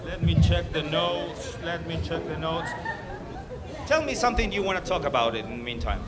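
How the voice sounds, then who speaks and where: A man's voice: fairly high pitch, animated, normal speed. A man with an Argentinian accent doing a crude, rambling, self-mocking stand-up set in a club. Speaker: stand-up comedian. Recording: live club with loud crowd.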